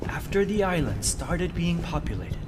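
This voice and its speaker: An actor playing an earnest male team strategist in a video game cutscene, speaking in a measured, dramatic way. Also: on the high side, very animated. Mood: pensive. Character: earnest man, wounded team strategist recounting island legends. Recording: reverberant hall, clear background noise.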